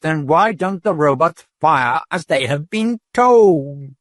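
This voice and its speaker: Man voicing a frightened official, shrill, urgent, raised pitch, dry. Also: unhurried, creaky, mellow, dry recording. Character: nervous, frightened male official.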